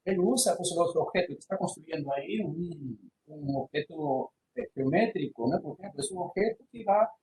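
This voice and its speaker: Brief: male lecturer, high voice, hesitant with many fillers, video-call mic, faint background hum. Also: lively intonation, normal speed, few pauses, a little mellow, poor audio. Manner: mixes in Portuguese words. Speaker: university lecturer. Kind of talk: online lecture presentation. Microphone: computer microphone on a video call.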